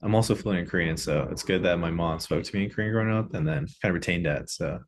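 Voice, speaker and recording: A man's voice: low voice, fast talker, slight background noise. A man hosting an interview, asking curious questions between brief affirming interjections. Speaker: interview host. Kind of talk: casual interview.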